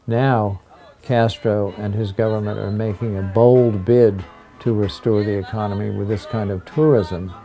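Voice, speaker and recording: A man delivers measured commentary in the manner of a news documentary. His voice is low and mellow and moves slowly, with faint plucked guitar behind it.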